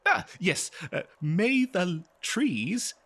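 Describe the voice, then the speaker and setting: A man playing a courteous, eager and nervous scholar in a fantasy audio roleplay, speaking politely and haltingly to the listener. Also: high voice, very animated, pushed and bright, full character voice. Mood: friendly, eager. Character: eager, nervous, courteous male human professor of ancient civilizations.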